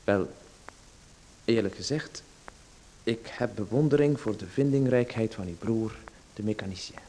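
A man's voice: lowish voice, moderate pace, warm tone, slight background noise, lightly acted, rough recording. An actor playing a polite, hesitant man who stammers while a salesman pushes him. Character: polite, hesitant well-known man being sold to. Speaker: radio drama actor. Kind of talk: radio play acting.

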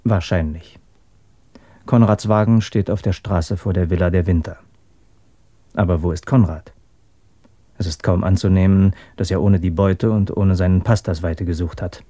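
A man's voice: sits low, fairly even intonation, normal speed, pauses often, warm tone, no room sound. An actor playing a keen, methodical male police detective reporting to his superior in a German radio crime drama.

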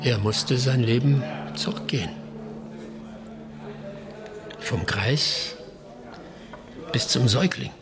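An actor playing a village hunter who tells a tale in a reflective, deliberate way, in a radio drama. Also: male voice, medium pitch, crowd noise, close to an everyday voice. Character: village hunter telling a tale, measured and sly. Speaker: village storyteller. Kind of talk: audio drama storytelling.

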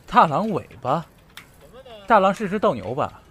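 A man playing a sharp-tongued, jealous boyfriend in an audio drama, curt, sarcastic and interrogating. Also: a little high, very animated, measured pace. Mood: suspicious. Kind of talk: Chinese audio drama acting.

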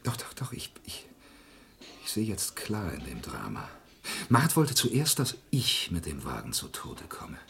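Anxious, low-voiced antique dealer, wildly expressive. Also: male voice, measured pace, creaky, in a whisper, full character voice. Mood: desperate. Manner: agitated, stammering, theatrical, swinging between murmurs and outbursts. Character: anxious, bitter, lonely male antique dealer, confessing. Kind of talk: German radio crime drama acting.

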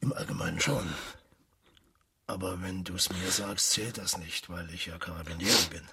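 Calm, measured police marshal. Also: male voice, sits low, vocal fry, noisy background. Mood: gentle. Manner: measured, polite questioning. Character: calm, considerate male Italian police marshal questioning witnesses. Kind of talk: radio drama acting.

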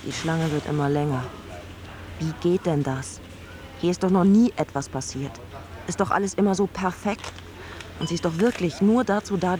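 An actress in a radio drama narrating a cashier's harried thoughts as a stressed inner monologue. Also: female voice, fairly low pitch, a little mellow, slight background noise, natural voice.

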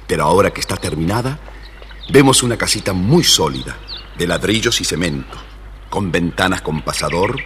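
A man narrating a scripted children's tale with an expressive, deliberate storyteller delivery. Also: sits low. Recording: reverberant, faintly noisy old story record.

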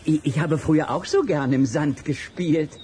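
A man playing a timid, soft-hearted companion in a children's radio play, speaking in a halting, appeasing way. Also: a little high, slight background noise, lightly acted. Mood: placating.

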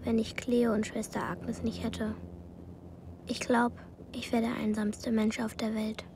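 A lonely little schoolgirl in a radio play. She speaks earnestly in a high, childlike voice, with clear background noise. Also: level tone, a little slow. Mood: wistful. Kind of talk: radio drama narration and dialogue.

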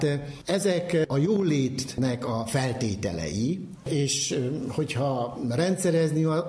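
A man speaking off the cuff as an academic expert, halting as he searches for words. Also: medium pitch, warm tone, a little hiss or hum. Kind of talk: unscripted expert explanation.

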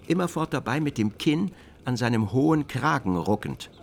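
A middle-aged man reads scripted literary narration for a radio play. His voice is creaky and gravelly at a medium pitch, and he tells the story in a measured, deliberate way. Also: sing-song, a little hiss or hum.

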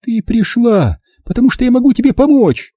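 A male narrator plays a distraught middle-aged mother in an audiobook. The voice is pushed high and swings widely, with a soft dark tone. She is bitter, pleading and insistent, leaning hard on every reproach. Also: sing-song, normal speed, breathy edge, no room sound, studio quality, exaggerated voice. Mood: bitter, desperate. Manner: emphatic, reproachful, pressing with rhetorical questions. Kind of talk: audiobook character dialogue.

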